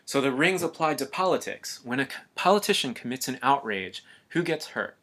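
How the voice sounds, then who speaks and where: Calm man narrating an explainer. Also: medium pitch, a little fast. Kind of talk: scripted voice-over narration.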